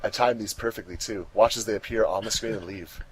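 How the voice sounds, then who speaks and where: A man's voice: on the low side, steady tone, fast talker, slight background noise, poor audio. A man talking casually on a fandub crew's commentary track.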